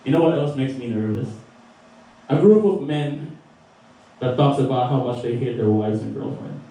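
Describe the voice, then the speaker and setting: A man's voice: mid-range voice, expressive, moderate pace, warm tone. A young man with a Filipino accent doing a profane stand-up set, laughing at his own jokes. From Philippines. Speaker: stand-up comedian. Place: small record store stage. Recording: roomy, distant live recording.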